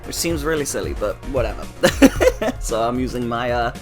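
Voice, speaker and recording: A hoarse woman chatting quietly and apologetically to viewers between lines of a game playthrough. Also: extremely low pitch, big pitch swings, normal speed, music in the background. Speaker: let's player and voice actor. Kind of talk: casual aside to viewers. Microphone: desktop mic at a home computer.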